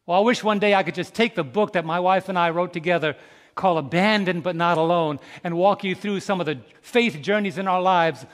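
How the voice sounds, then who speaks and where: Pastor guy in his 50s preaching, high-pitched, asks the crowd questions, goes soft then loud, big echoey church hall. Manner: preaching with call-and-response questions to the congregation; swings from soft, slow reflection to loud, rising exhortation. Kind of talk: sermon. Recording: long reverb in a large hall.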